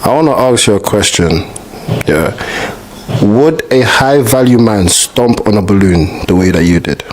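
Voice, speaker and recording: Direct, low-voiced male interviewer. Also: expressive, unhurried, slight background noise, rough recording. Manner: direct, pointed questions. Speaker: interview host. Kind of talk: casual interview questioning.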